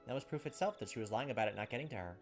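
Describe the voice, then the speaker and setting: A man reads story text from a visual novel at a quick clip. He slips between narration and casual remarks as a gaming host, with music playing behind his voice. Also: mid-range voice, expressive. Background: video game music.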